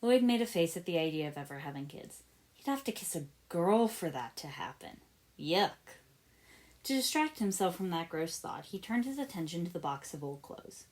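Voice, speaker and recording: A woman's voice: on the low side, expressive, moderate pace, darkish tone. A woman narrating fiction as an amateur audiobook reader, with expression in the scripted prose.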